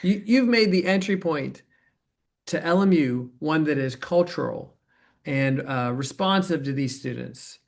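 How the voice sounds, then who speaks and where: A man's voice: fairly high pitch, animated, a little slow, creaky. A man playing an earnest, appreciative program director near retirement, with hesitant, stammering starts, in natural staged dialogue. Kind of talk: dramatized dialogue, natural conversation.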